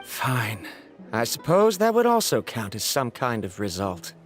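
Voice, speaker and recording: A woman's voice: very deep voice, very animated, a little slow, exaggerated voice. A woman playing a driven, serious female adventurer in a video game, speaking formally. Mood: resigned. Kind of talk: scripted game dialogue. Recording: background music under the dialogue.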